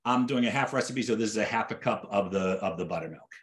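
A man's voice: fairly low pitch, fast. A man in his fifties giving a conversational live cooking demonstration from a home kitchen, explaining things by repeating and rephrasing. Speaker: chef teaching an online cooking class.

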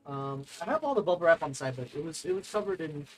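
A young man chatting casually on a live stream at his desk, rambling and excitable, reading chat aloud and laughing often. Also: fairly high pitch, quick pace, rough recording. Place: desk at home, overhead camera.

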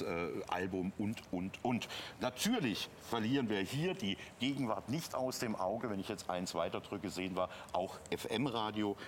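Middle-aged male shopping-channel presenter, lowish pitch, conversational demo delivery, some studio noise behind. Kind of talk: unscripted sales demonstration, conversational explaining.

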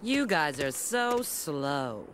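A woman's voice: deep voice, very animated, drawn-out words, background noise, heavily acted. An actress playing a sly, confident female bat treasure hunter in a video game, with a cool, teasing manner. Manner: cool, teasing, self-assured. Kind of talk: video game cutscene acting.

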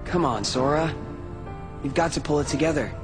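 A man's voice: fairly high pitch, normal speed, music in the background, natural voice. Man as a brooding, guarded young game character. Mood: wry. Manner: restrained, wry. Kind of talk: video game cutscene voice acting.

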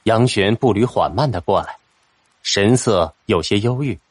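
A man's voice: lowish voice, moderate pace, slightly rough, dry recording. A man narrating a fantasy novel in a dramatic, scripted storytelling style. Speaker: audiobook narrator.

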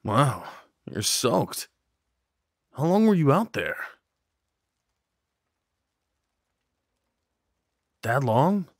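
A man acting a kind, slightly awkward neighbor in an audio roleplay, talking in a gently concerned, hesitant, conversational way. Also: mid-range voice, sing-song, slow, slightly rough, no room sound, clean studio sound, exaggerated voice. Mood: friendly, apologetic. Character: kind, awkward, caring male neighbor comforting someone. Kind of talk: ASMR roleplay, soft one-sided dialogue acting.

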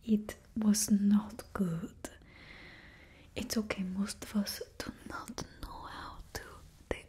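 A woman's voice: sits high, warm tone, a little hiss or hum. A young woman voicing a bossy female stylist to supervillains with a put-on Russian accent, whispering blunt remarks close to the mic. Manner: blunt and condescending. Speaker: ASMR creator. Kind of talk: ASMR roleplay. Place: indoor studio with painted backdrop. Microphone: close ASMR mic.

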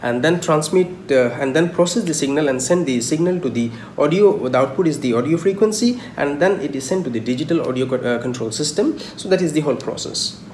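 A young man giving an informal technical lecture in a halting, repetitive way, often asking questions and answering them himself. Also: a little high, lively intonation, darkish tone. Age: young adult. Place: small indoor room at home. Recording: roomy echo, clear background noise.

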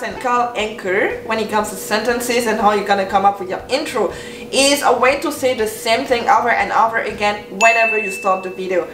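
A young woman whose first language is French speaks English with a French accent, upbeat and encouraging, and she leans on repetition for emphasis. Her voice sits on the high side. It is recorded from some distance, in a room that adds a noticeable echo. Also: a bit bright. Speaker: YouTuber. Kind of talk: talking-head advice vlog. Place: bedroom with bookshelves, indoors. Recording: roomy sound, mic at a distance.

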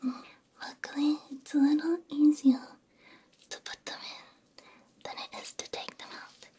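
A woman whispers slowly and softly into a close binaural microphone. She speaks in short, hushed phrases with a soothing, reassuring manner, as in an ASMR roleplay. The tone stays mellow and gentle. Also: high-pitched, flat delivery. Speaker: VTuber ASMR host.

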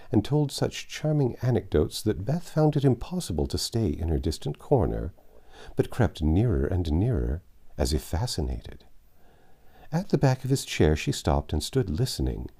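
A man in his sixties reading a classic novel aloud in a measured, expressive storybook style. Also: fairly low pitch, breathy edge. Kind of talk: storybook read-aloud narration. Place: home library with bookshelves, indoors. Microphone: lapel mic.

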